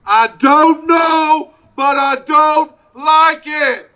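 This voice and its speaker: A man playing a loud, simple, overeager American soldier in a comedic stage radio play. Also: extremely high pitch, extremely slow, voice pushed up, heavily acted. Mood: blustering. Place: outdoors, parking lot stage.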